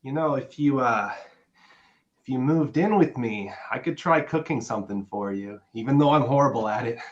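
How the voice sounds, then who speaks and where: A man playing an affectionate, gently pressing boyfriend in a naturalistic script reading, conversational and a little hesitant. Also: mid-range voice, moderate pace, muffled recording, lightly acted. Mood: tender, hesitant.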